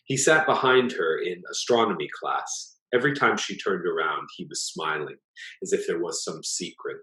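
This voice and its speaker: A low-voiced man reads literary fiction aloud in a measured way. His pitch moves expressively through the narration. The room adds a clear echo around him. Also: animated, sharp tone. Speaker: author reading own short story. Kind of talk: literary story reading.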